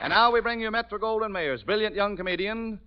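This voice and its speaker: A man's voice: high-pitched, fairly quick, clear, resonant tone, a faint crowd. An American man working as a 1940s radio announcer, delivering scripted introductions and sponsor copy. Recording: dull 1940s radio broadcast, music behind.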